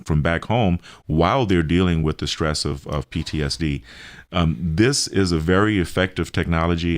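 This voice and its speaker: Very deep-voiced man explaining haltingly. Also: no room sound. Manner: hesitant, halting delivery with repeated phrases. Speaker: health center representative explaining therapies. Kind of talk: explanatory radio interview talk.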